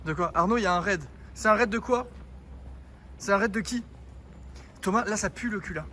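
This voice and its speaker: A man's voice: high voice, a little fast, bright, forceful tone, slight background noise. A young man streaming live outdoors, chatting and joking with his viewers, a bit out of breath. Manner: joking, teasing, talks to chat. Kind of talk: casual IRL stream chat. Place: outdoors in a town street.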